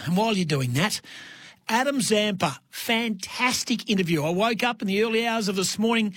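An Australian man with a husky voice, casually presenting and introducing on the radio. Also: high voice, a bit bright, no room sound, studio quality. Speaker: radio host introducing an interview.

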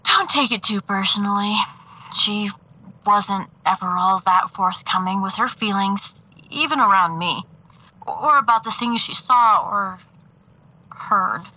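A woman acting in an audio drama as a reflective, wistful woman who speaks haltingly and thoughtfully. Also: medium pitch, bright, forceful tone, highs cut off. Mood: wistful, subdued. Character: reflective, warmly wistful woman missing an old friend.

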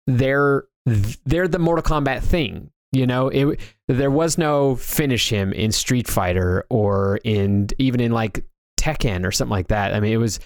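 Low-pitched man in casual pop-culture chat. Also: measured pace, clear, resonant tone, no echo, clean studio sound. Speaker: co-host of a video game discussion show.

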